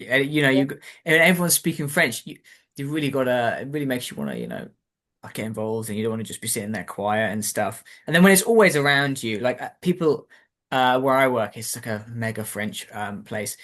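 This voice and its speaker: A man who hosts a relaxed chat, his voice at a middling pitch. He rambles along easily and keeps dropping "like" and "um" as he talks. The interview stays informal and friendly in tone. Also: fairly quick. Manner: rambling and chatty, full of "like" and "um". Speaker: interview host. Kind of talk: casual conversational interview.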